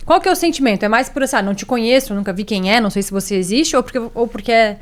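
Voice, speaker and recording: A woman's voice: on the high side, rapid-fire. A woman hosting a podcast interview, asking long chatty questions. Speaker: podcast host.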